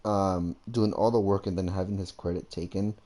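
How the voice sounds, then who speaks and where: A man giving casual gameplay commentary, thinking aloud in a halting way. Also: deep voice, fairly even intonation, warm tone. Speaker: gamer.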